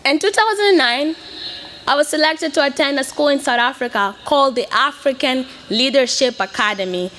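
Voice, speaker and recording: Bright high-voiced young woman giving a speech. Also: moderate pace, a little hiss or hum. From Mozambique. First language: Portuguese. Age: 20s. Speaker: student speaker. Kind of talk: prepared speech to an audience.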